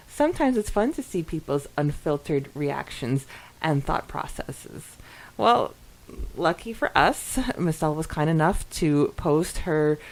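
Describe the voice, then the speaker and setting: A woman speaks in a slightly low register, relaxed in wording and giggly in manner. She sounds like a podcast co-host, moving between casual chat and reading listener comments aloud. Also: poor audio. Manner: giggly, informal.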